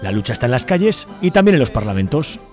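A man's voice: medium pitch, very animated, fast. Man from Spanish radio reading over music. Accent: Spain. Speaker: radio show co-host. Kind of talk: scripted political editorial read in alternation. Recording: music bed behind the voice.

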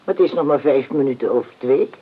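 An actress playing a guarded, loyal housekeeper in a radio play, speaking in a wary, halting way. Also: female voice, a bass voice, husky. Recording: tinny, phone-like old radio recording.

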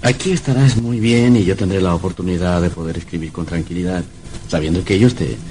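An actor playing a young novelist husband in an old radio drama, earnest, curious and theatrical. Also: male voice, sits low, a little fast, darkish tone, close to an everyday voice, poor audio. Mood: caring.

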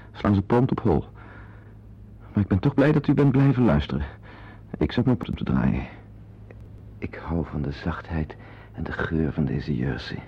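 A man's voice: slightly rough, exaggerated voice. An actor playing a needy, flustered man in a radio play, with a hesitant, confiding manner. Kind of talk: radio drama acting with asides to the listener. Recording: dull old radio recording with rumbling noise.

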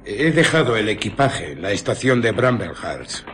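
An actor in a radio drama playing an edgy, secretive stranger, terse and halting, with an accent from Spain. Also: male voice, on the low side, very animated, a bit bright, slight background noise. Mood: insistent, impatient. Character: edgy, secretive male stranger with bandaged face.

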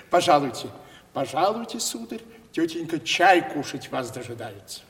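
Creaky, high-voiced folksy manservant. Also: male voice, sing-song, a little slow, a bit bright, full character voice. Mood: servile, homely. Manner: obsequious, homely, chuckly. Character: folksy, deferential, simple country manservant. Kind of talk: Russian classical stage comedy, radio play. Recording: reverberant 1970s radio drama recording.

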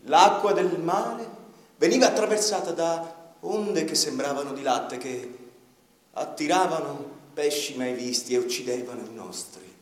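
Man, fairly high voice, declaiming a bitter monologue for radio theatre; picked up from a distance in a very roomy space with violin behind. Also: unhurried. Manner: declamatory, bitter and mournful, with sharp swings in loudness. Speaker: narrator of a radio play. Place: theatre stage. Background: violin music.

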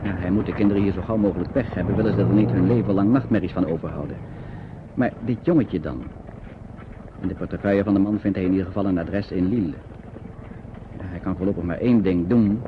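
An actor playing the sober narrator of a grim wartime radio play, reading scripted narration. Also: male voice, low-pitched, fast, narrow, tinny audio, natural voice. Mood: grave. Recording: thin, noisy old radio recording.

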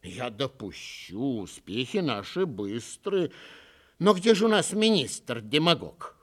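A man's voice: medium pitch, very animated, slow pace. A man voicing a skeptical, self-righteous critic, fussy and indignant, in theatrical verse recitation. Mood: disapproving. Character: skeptical, disapproving, self-righteous reader grumbling objections.

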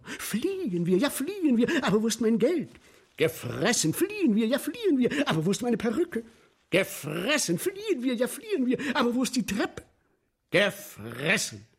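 An actor in a German radio play playing a gleeful, philosophizing sewer inspector, telling his macabre tale with husky, theatrical relish. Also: very high-pitched, huge pitch swings, moderate pace, full character voice. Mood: mock panic. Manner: savoring, theatrical storytelling with mimicry.

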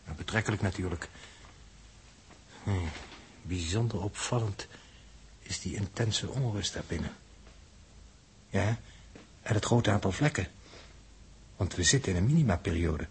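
An actor playing a learned, precise space scientist in a 1960s Dutch radio drama, with a measured, didactic delivery. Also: male voice, sits low, animated, a little hiss or hum. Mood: lecturing.

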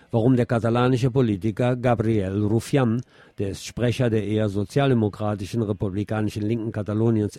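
A man's voice: lowish voice, dark tone, no echo. A man reading scripted news as a radio presenter.

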